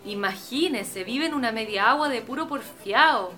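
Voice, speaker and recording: Bright, insistent Chilean daughter played by a woman. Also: fairly high pitch, lively intonation, moderate pace, faint music behind. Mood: polite, concerned. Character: insistent, status-conscious doctor daughter pleading with father. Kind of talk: radio drama acting.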